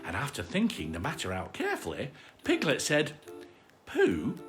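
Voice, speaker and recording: A storytime host reading a children's story in a playful, animated way, stumbling now and then. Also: medium pitch, brisk, music in the background. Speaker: live storytime reader and host.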